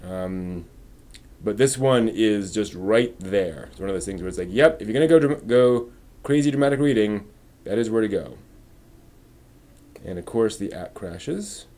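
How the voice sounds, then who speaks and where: A man's voice: lowish voice, lively intonation, moderate pace, mellow. A man hosting a casual live stream, rambling and often muttering to himself as he works.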